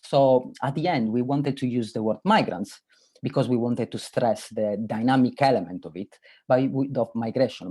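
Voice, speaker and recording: A man from Sardinia with an Italian accent, co-hosting an academic webinar in an earnest, halting way. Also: mid-range voice, mellow, breathy edge. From Sardinia, Italy. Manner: earnest, with frequent restarts and hesitations. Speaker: webinar co-host.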